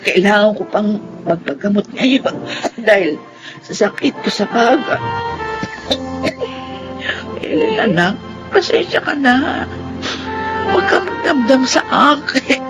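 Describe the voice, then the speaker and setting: Ailing, loving Filipina mother for radio drama: tender, very varied pitch, music underneath. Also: sing-song, takes it slowly, pauses often. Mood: anguished. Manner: tender, emotive, apologetic. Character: ailing, apologetic, loving mother of a college student. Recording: muffled lo-fi audio, music underneath.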